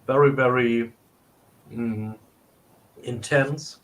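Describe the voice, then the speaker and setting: A man's voice: mid-range voice, steady tone, very slow, slight background noise, low-quality recording. A man with a German accent hosting a casual tasting, searching for his English words as he goes. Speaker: tasting host and shop owner.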